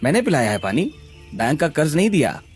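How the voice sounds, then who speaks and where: A man voicing a kind, gently teasing young tea-stall keeper in a cartoon story. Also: on the high side, big pitch swings, brisk, slightly rough, soft background music. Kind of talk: Hindi cartoon story acting.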